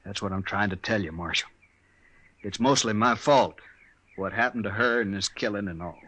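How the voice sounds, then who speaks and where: An actor playing a guilt-ridden, humble townsman in a 1950s radio western, with halting, folksy, rustic speech. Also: lowish voice, a bit bright. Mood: ashamed.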